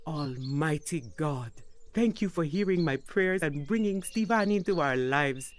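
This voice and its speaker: A woman's voice: deep voice, lively intonation, measured pace. A devout middle-aged mother in a radio drama, welcoming and pious. There is faint background noise behind her. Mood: prayerful.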